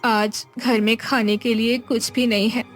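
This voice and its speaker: A woman's voice: fairly high pitch, level tone, unhurried, soft background music, close to an everyday voice. A woman playing an anxious young village wife in a cartoon moral story, plaintive and sorrowful. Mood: sad, quiet. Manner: plaintive, worried.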